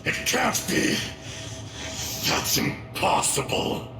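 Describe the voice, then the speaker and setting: An actor playing a vengeful ancient dragon in youthful guise, theatrical and full of contempt, in a video game. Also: male voice, takes it slowly, close to an everyday voice. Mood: outraged. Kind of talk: video game dramatic dialogue. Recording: echoing voice over game music.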